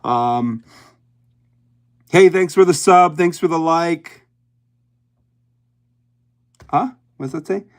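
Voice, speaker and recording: A man's voice: on the high side, takes it slowly, pauses often. A young man from Hawaii hosting a casual livestream, chatting with his viewers in a rambling way and laughing often. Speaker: YouTube livestream host.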